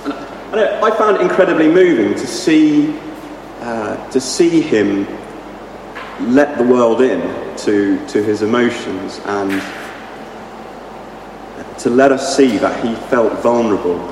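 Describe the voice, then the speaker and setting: A man, his voice a bit croaky, preaching a sermon with halting restarts and many ums. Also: mid-range voice, lively intonation. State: slightly croaky voice. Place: church hall. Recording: echoey hall from far off, noisy.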